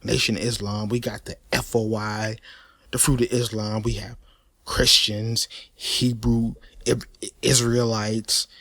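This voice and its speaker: Animated man ranting, dry close sound. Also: lowish voice, measured pace, dry recording. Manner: animated, emphatic and repetitive, with frequent restarts and stutters; swears freely, street slang. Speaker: host of a personal commentary channel. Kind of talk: unscripted opinion rant.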